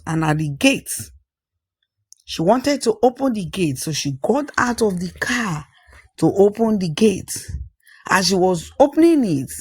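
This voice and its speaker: A storyteller narrating a scripted folktale, phrasing things deliberately and repeating for emphasis. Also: medium pitch, dry recording.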